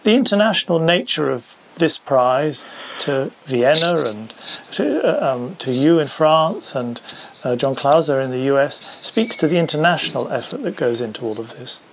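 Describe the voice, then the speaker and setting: A man's voice: a little high, expressive, normal speed, slight background noise, rough recording. A man interviewing by telephone, effusive and a little hesitant in his phrasing.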